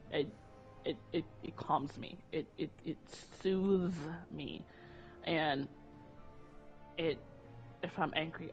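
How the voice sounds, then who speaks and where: A woman speaking frankly in a loose, rambling way, often stumbling and restarting her words. The audio is muffled and lo-fi, and soft music plays underneath. She sounds like a co-host in a relaxed conversation. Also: low voice. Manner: candid, rambling with repeated restarts. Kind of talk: casual conversation. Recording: dull lo-fi audio with faint music.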